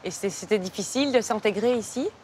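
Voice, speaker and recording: A woman's voice: medium pitch, quick pace, a little hiss or hum. A woman hosting an interview, asking friendly, upbeat questions. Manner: cheerful, laughing. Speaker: interviewer, host. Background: soft music.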